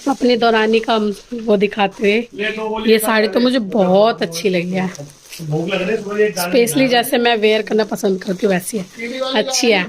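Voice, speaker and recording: A woman's voice: medium pitch, steady tone, measured pace. A young woman with a sore throat, chatting casually to her vlog viewers and showing things one by one to the camera. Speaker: vlogger. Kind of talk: casual family vlog chat.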